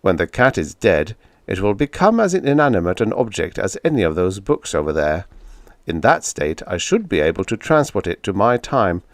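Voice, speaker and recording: A man voicing a calm, blunt visitor from the future who speaks in a flat, firm, matter-of-fact way. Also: deep voice, normal speed, no room sound.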